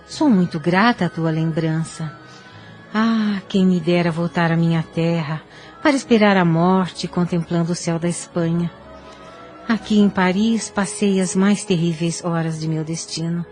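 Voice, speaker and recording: A woman with a Brazilian accent playing a gentle, ailing middle-aged mother in a melodramatic audio drama, speaking formally and plaintively. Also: lowish voice, steady tone, a little slow, soft background music, natural voice. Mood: sorrowful, resigned.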